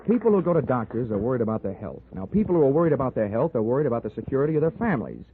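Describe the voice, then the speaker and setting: An actor playing a breezy, flirtatious insurance investigator with an American accent and a glib, wry manner in 1940s radio drama. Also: male voice, medium pitch, big pitch swings, very fast, a little hiss or hum. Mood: smooth-talking. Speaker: lead character and narrator. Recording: tinny 1940s radio recording.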